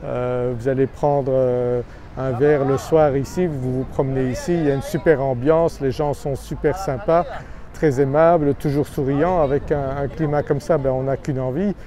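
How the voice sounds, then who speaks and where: A man's voice: medium pitch, steady tone, moderate pace, a crowd in the background. A man from Brussels, talking to camera outdoors in a seaside town as a property finder, hesitant with many filler sounds and friendly in his persuading. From Brussels, Belgium. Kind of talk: casual vlog pitch to camera.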